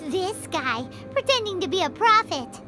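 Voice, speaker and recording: A tiny childlike fairy companion for an anime game. The voice is extremely high and very animated, excitable and cheeky, full of exclamations. Music plays behind it. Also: female voice, a little slow, exaggerated voice. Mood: suspicious. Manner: refers to self by name, exclaiming. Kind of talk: anime game dub voice acting.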